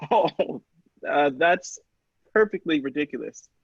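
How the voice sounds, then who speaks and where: A man playing a polite, earnest young lab assistant in a radio play, speaking a little haltingly. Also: fairly high pitch, expressive, husky, no echo, muffled recording, full character voice. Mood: incredulous.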